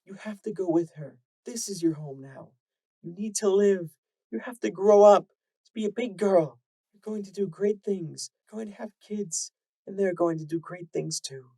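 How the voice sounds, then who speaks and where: A man's voice: sits high, normal speed, vocal fry, dry recording, pitched above the performer's own voice, poor audio. A man voicing a desperate, loving mother mare. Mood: pleading. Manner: soft, pleading, frantic.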